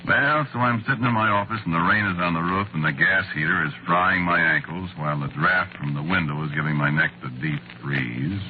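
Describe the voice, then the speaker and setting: A man's voice: low-pitched, fairly quick, few pauses, close to an everyday voice. An American man acting as a world-weary, sardonic Hollywood screenwriter, narrating conversationally in a 1940s radio drama with wry delivery. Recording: noisy, muffled old radio recording.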